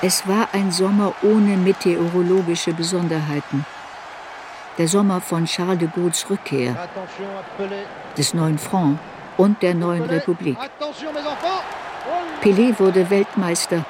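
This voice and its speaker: An actress playing a reflective woman writer in a radio play, recalling her girlhood in a sober, deliberate, introspective way. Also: fairly low pitch, steady tone, background noise, lightly acted. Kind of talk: literary radio play narration.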